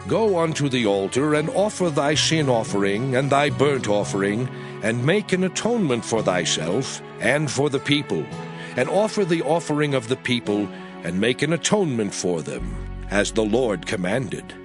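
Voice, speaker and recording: Man acting a stern aged lawgiver, solemn, commanding, measured, audio drama, music underneath. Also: medium pitch, very animated, natural voice. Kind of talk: dramatized scripture reading.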